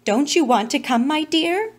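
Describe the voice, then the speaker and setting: Doting storybook mother read by a woman: sweet, coaxing, highish voice with lots of melody. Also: expressive, moderate pace. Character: doting mother coaxing her difficult young son. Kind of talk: children's storybook reading.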